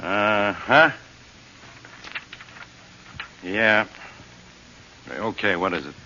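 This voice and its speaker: A man's voice: lowish voice, slow, sharp tone, exaggerated voice. An actor playing a brusque, irritable police inspector in a 1940s radio drama, snapping his words out. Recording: muffled old radio broadcast, faint hiss.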